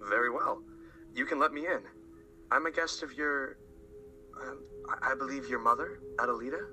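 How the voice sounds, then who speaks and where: A man playing a polite, hesitant young stranger in an amateur radio play, speaking formally and a little haltingly. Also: mid-range voice, lightly acted. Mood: polite insistence. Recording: music under the voice.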